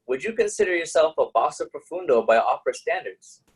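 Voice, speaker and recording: A man's voice: on the high side, expressive, a little fast, slightly rough, rough recording. A man hosting a casual interview, asking questions and chatting with his guest. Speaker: interviewer, host.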